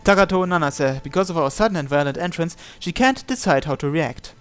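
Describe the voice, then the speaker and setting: Man, game narration read aloud, fairly high voice, soft background music. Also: animated, fairly quick, clean tone. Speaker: let's player reading a visual novel aloud. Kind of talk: reading visual novel narration and dialogue aloud.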